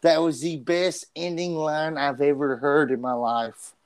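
Man, stutter, casual podcast co-host, animated and profane, repeats words, dry close sound. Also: a little high, a little slow, clean tone, dry recording.

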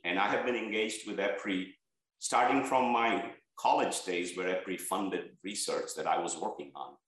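Man from Bangladesh, research leader, earnest and listing, lowish voice. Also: fairly bright tone, heavy reverb, low-quality recording. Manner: earnest, stresses key words, lists points. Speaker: head of energy research, interview guest. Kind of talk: interview answers.

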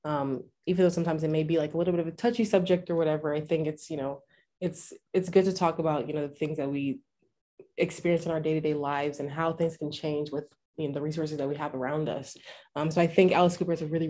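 Low, congested woman hosting a chat. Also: steady tone, fast talker, mellow. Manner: supportive, loose and chatty. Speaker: podcast co-host. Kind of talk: casual interview chat.

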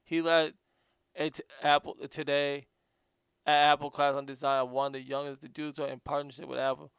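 A man's voice: a little high, level tone, moderate pace, clean tone, no echo, highs cut off. A man hosting a casual video, reading from notes about a guest and often stumbling and repeating words.

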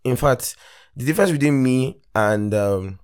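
A Nigerian man hosting a casual solo fantasy football podcast, rambling with lots of fillers and restarts, his voice still deep from the morning. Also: expressive, measured pace, clear, resonant tone. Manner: rambling, many fillers and self-corrections. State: morning voice, deeper than usual. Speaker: podcast host.

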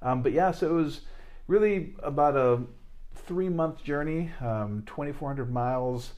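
A man in his forties talks as an interview guest. He is earnest and often halting, restarting his sentences and filling pauses as he works toward his point. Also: on the high side, a little mellow. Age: 40s. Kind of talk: casual interview talk.

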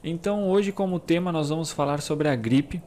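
A man's voice: fairly high pitch, moderate pace, darkish tone, a little hiss or hum. A young Brazilian man hosting his own channel, explaining things casually with lots of fillers and asides. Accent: Brazilian Portuguese. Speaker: YouTube channel host.

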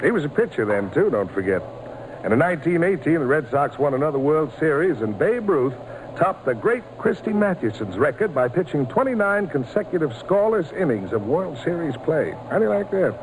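A man's voice: fairly high pitch, sing-song, a little fast. An actor playing a folksy, enthusiastic father telling baseball tales to his son in an old-time radio drama. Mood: proud, eager. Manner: folksy, relishing. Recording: noisy old radio recording.

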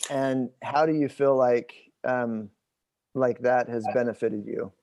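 A man in his forties in a conversational interview, explaining things in a halting, thinking-aloud way. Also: mid-range voice, steady tone, darkish tone, a little hiss or hum. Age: 40s. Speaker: host interviewing his father.

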